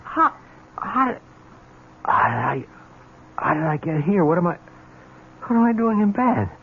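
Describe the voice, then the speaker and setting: Rattled, stammering fiancé, swinging pitch. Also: male voice, a little slow, heavily acted. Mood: terrified, dazed. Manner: stammering, excitable. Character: rattled, defensive fiancé, sensible man turning frantic. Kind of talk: old-time radio drama acting. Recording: tinny noisy old radio recording.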